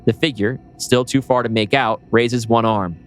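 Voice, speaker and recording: A man's voice: mid-range voice, fairly even intonation, moderate pace, slight background noise. A man reading screenplay action as scripted narration, with a dramatic, suspenseful delivery over music. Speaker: podcast host reading his script.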